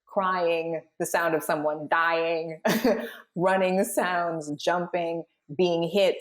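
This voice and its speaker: Woman, slightly low voice, panel host, voice director, explanatory, encouraging. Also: little pitch movement, very slow. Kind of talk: guiding a cast panel discussion.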